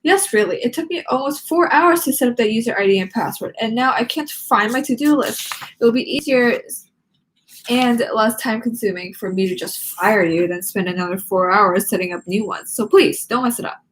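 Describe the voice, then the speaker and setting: Woman putting on a grumpy, high-strung male teacher. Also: moderate pace, slight background noise, natural voice, rough recording. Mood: stern. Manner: irritable, bossy, complaining.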